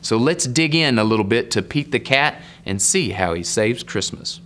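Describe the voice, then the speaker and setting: A middle-aged man reading a children's picture book aloud with asides, in measured, emphatic phrasing. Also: medium pitch, animated, fairly bright tone, slight background noise. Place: indoor room set up as a reading corner.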